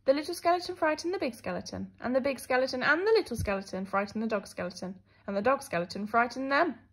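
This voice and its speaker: A woman's voice: sits high, expressive, quick pace, husky. A woman teacher reading a children's story expressively, with emphatic, dramatic phrasing. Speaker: primary school teacher.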